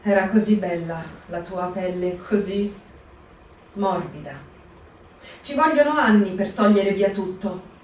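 A woman performing a dramatic reading for radio with a cold, steady recitation. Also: mid-range voice, unhurried, long pauses. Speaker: actor in a staged reading. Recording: echoey stage reading from far off, faint hiss.

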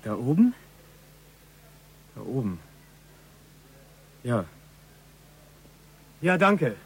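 An actor playing a pushy young gangster in a radio crime drama, loud and insistent in his questioning. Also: mid-range voice, sing-song, extremely slow, slightly rough, full character voice. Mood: insistent, uneasy.

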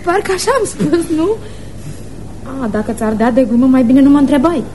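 A woman's voice: high-pitched, expressive, dark tone, a little hiss or hum. An actress playing a playful, frank, impulsive schoolgirl of almost eighteen, in love, in a girlish, teasing radio theatre style.

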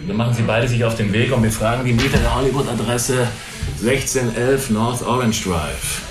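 An actor playing a police superior who gives brusque, authoritative orders. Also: male voice, mid-range voice, normal speed, natural voice. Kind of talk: radio drama dialogue. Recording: echoey room with music behind. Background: music.

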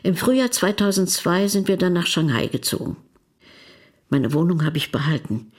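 A woman's voice: lowish voice, animated, normal speed, a little mellow. A woman playing a candid older retired wife in a radio documentary play, plain-spoken and conversational. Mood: reminiscing. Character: candid older retired wife, a grandmother living between cultures.

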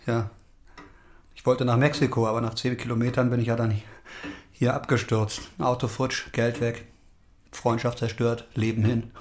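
An actor playing a gentle, harmless, sheltered city man in a German audio drama, hesitant and self-deprecating. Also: mid-range voice, flat delivery, moderate pace, long pauses, slight background noise, natural voice. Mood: wry, rueful.

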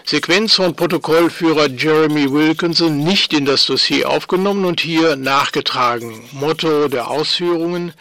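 Bright, sharp-voiced official clerk. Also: male voice, on the high side, moderate pace, few pauses, no echo. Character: dry, official male record keeper reading a dossier. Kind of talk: formal read-out in German radio play.

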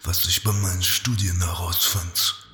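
A man portrays a grave, weary old sorcerer in a fantasy audio drama. His deep, breathy voice echoes with long hall reverb over music. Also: steady tone, unhurried, bright, forceful tone. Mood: grave, urgent. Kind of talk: fan audio drama acting.

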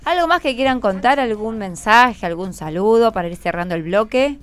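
A woman's voice: on the high side, expressive, normal speed, smooth. A woman with a Buenos Aires accent hosts a conversational radio interview, prompting and encouraging her guests with short questions. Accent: Buenos Aires Spanish. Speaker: radio host interviewing schoolchildren. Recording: music playing under the voice. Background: background music.

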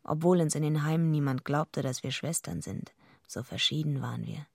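A woman's voice: low-pitched, normal speed, creaky, breathy edge, dry recording, studio quality, exaggerated voice. An actress playing a tough, streetwise young woman, narrating a radio drama in a wry, matter-of-fact way.

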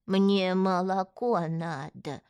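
A woman voicing a confused, decrepit old man with a creaky voice and a plaintive, drawn-out manner. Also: on the low side, a little mellow, dry recording. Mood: hopeful pleading.

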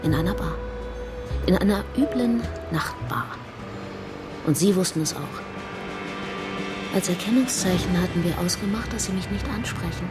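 Actress doing a mysterious blind young woman, teasing, self assured, radio drama with loud music behind. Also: on the low side, normal speed, long pauses, natural voice. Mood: quietly insistent.

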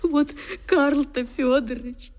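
A woman's voice: high-pitched, steady tone, slow, a little mellow. An actress playing a poor old Russian woman in a radio drama, with folksy, wailing, coaxing speech, scolding yet tender. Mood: tearful lament. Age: older.

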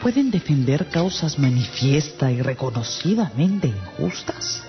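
A woman's voice: low voice, sing-song, unhurried. An actress playing a dignified, inquisitive queen in a radio drama, speaking in a formal, probing way. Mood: dignified curiosity. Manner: formal, probing, well-articulated. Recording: dull radio drama, music behind.

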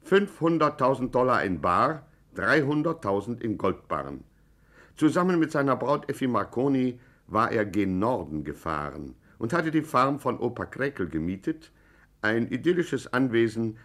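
An actor as a mock-serious documentary narrator in a vintage radio play, formal and measured. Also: male voice, mid-range voice, a bit bright. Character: solemn mock-documentary male narrator of gangster chronicle. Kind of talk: scripted 1950s radio narration.

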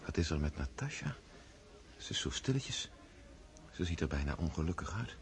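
A man playing a quiet, observant count in a radio play. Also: extremely low pitch, very animated, normal speed, exaggerated voice. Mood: concerned.

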